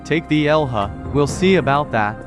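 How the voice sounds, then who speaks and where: A man's voice: fairly high pitch, expressive, normal speed, over loud music, lightly acted. A man voicing a proud, boastful sports car contestant in a game show parody. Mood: challenging. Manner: boastful, cocky.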